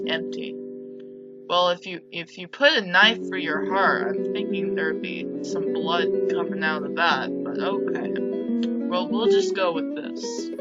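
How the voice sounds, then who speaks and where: Unhurried lower-voiced woman reading a game aloud. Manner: dramatic read-aloud, breaking off into casual asides. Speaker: video game streamer and narrator. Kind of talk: reading a visual novel aloud with side comments. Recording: dull lo-fi mic with music behind.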